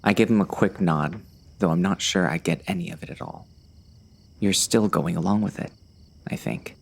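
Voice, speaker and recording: Male actor as uneasy gunslinger, deep gravelly fry, brooding first-person storytelling, western audiobook drama. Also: normal speed. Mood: tense, wary. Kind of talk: first-person audiobook narration, present tense.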